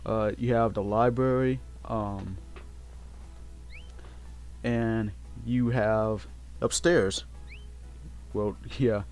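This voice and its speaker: Halting man over game music. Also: fairly low pitch, fairly even intonation, darkish tone. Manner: halting, repetitive, self-correcting. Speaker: let's play host. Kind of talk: casual unscripted game commentary.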